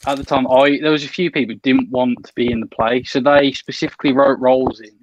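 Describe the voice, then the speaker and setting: A man's voice: medium pitch, fairly even intonation, quick pace, highs cut off, background noise. A young man telling a story on a video call, often stopping and restarting as he goes. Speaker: podcast guest.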